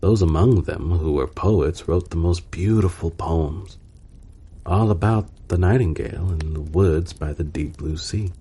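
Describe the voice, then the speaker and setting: A man narrating a bedtime storybook in a calm, soothing and unhurried manner. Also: sits low, husky.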